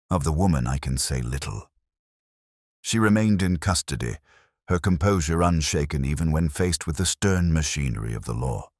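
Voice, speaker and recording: Very deep-voiced man narrating a detective story. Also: a little mellow, dry recording, studio quality. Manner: formal, literary, measured storytelling. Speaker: audiobook narrator. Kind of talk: scripted first-person detective story narration.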